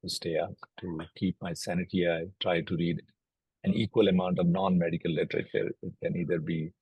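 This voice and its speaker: Native Urdu speaker, middle-aged man, deep husky voice, level delivery, dry close sound; calm expert explaining step by step. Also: no room sound, dull audio. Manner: measured and explanatory, lists cases step by step. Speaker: oncologist and platform co-founder. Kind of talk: recorded video interview.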